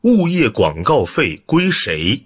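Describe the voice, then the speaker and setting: Guy announcer reading a radio drama intro, mid pitch but really animated. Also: slow pace. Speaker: program announcer.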